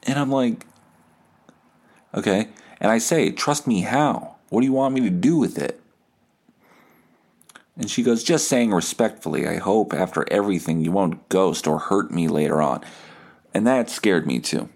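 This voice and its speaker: A man's voice: lowish voice, lively intonation, normal speed, long pauses, darkish tone. A man hosting a podcast, telling a story casually and comedically, foul-mouthed and self-deprecating, reading out message exchanges as dialogue. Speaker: podcast host.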